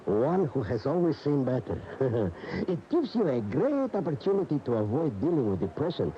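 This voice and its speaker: An actor playing a sly, courteous, teasing gentleman with a Spanish accent in a radio drama. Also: mid-range voice, big pitch swings, moderate pace, lightly acted. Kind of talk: 1980 radio drama acting. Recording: muffled, noisy 1980 AM radio.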